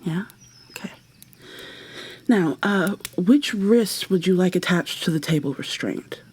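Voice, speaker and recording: A woman playing a tender, possessive kidnapper in an ASMR roleplay, speaking softly and haltingly, often slipping into a breathy whisper as she coaxes. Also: fairly low pitch, lively intonation. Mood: coaxing, tender. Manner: coaxing, gentle, halting. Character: tender, possessive female kidnapper doting on her captive lover.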